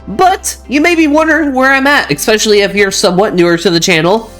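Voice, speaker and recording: A stuffy-sounding host talks through gameplay in a loose, chatty way, often doubling back and restarting sentences. The voice sits at an ordinary middle pitch, and soft music plays underneath. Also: fast. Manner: chatty, rambling, self-correcting with frequent restarts. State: stuffy from mild allergies. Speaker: channel host. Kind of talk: casual gaming commentary over gameplay.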